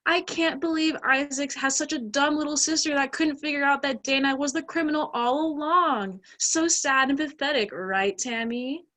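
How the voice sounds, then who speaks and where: Woman playing a high-pitched, scornful mean girl. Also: moderate pace, few pauses, bright, forceful tone. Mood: scornful, gossipy. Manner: valley-girl drawling, scornful. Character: snooty, hateful teenage mean-girl bully. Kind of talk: amateur stage play acting.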